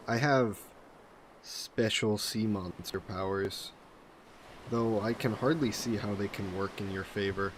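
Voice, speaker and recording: A somewhat low-pitched, possessive sea monster, acted by a man for a roleplay audio drama. He chooses formal, measured words and mixes cool threat with flirtation. There is noticeable background noise under the voice. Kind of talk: scripted roleplay audio drama, intimate monologue.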